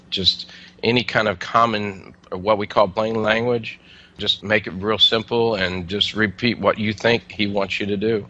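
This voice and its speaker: A man giving casual, plain-spoken explanations as an interview guest, with somewhat halting delivery. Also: fairly low pitch, pushed and bright, lo-fi sound. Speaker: air traffic controller, interview guest.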